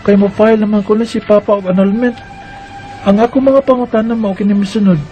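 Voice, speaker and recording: Woman playing a worried young girl in a radio play, dull lo-fi sound, soft music under. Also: mid-range voice, fairly even intonation, fast, lightly acted. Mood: narrating. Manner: questioning, anxious. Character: anxious young daughter.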